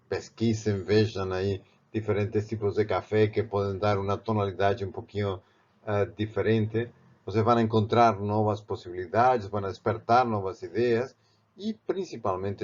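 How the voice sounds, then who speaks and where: A man from Barcelona speaking with a Spanish accent, teaching a painting technique in a halting way, with many restarts. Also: on the low side, a little mellow, dull audio. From Spain, Barcelona. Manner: halting, with frequent restarts and fillers. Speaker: art teacher. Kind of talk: step-by-step art tutorial.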